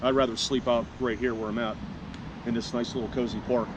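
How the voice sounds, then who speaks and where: A man vlogging outdoors in a city park, his voice congested and stuffy. He speaks frankly and plainly, wandering into asides as he explains. City traffic and outdoor noise come through clearly behind him. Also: medium pitch, level tone, a little fast. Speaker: homeless vlogger. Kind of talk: casual vlog monologue.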